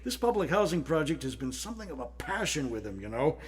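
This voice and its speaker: Pompous, husky city official. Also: male voice, fairly high pitch, very animated, fast talker. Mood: brisk, dismissive. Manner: blustery, formal, officious. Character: pompous, dismissive 1930s male city official. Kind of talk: period radio drama acting.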